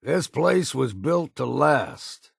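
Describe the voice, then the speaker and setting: An actor playing a gruff, wary old islander sailor in a video game, with a gravelly, husky voice and salty, folksy, blunt talk. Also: male voice, medium pitch, big pitch swings, slow pace, no echo, clean studio sound, heavily acted. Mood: wry, wary. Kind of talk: scripted video game voice lines.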